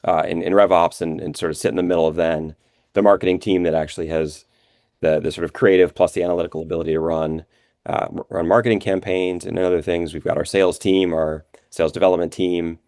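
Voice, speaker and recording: Low-voiced man explaining business. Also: fairly even intonation, fast talker, a little mellow, dry recording, clean studio sound. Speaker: interview guest, revenue operations executive. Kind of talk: conversational business interview answers.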